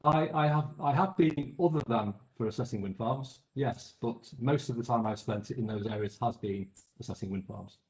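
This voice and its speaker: A man from England giving measured expert testimony at an inquiry, often restarting his sentences. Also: mid-range voice, a little mellow, roomy sound, poor audio. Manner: measured, hesitant with frequent restarts. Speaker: expert witness.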